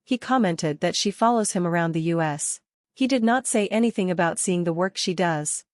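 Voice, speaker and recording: Woman reading notes out flat n plain, lowish voice, dry sound. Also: moderate pace, no echo. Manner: flat, matter-of-fact. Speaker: narrator. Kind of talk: read-out disclaimer narration.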